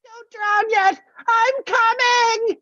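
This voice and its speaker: A performer playing an anxious, excitable animal in an animated comedy table read, swinging from meek to frantic. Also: extremely high pitch, extremely slow, full character voice. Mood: frantic bravery. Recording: roomy, slightly dull sound.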